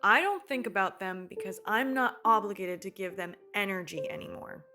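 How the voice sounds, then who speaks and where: A woman playing a warm, candid, resilient survivor in a radio play. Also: medium pitch, moderate pace, faint music behind, lightly acted. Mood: heartfelt.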